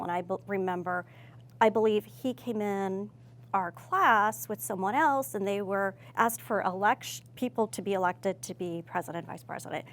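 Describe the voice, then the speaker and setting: A middle-aged woman from Chicago with an American accent, casually telling stories and correcting herself as she goes in an interview. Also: medium pitch. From Chicago, United States. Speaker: hospital pharmacist. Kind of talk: casual conversational interview answers.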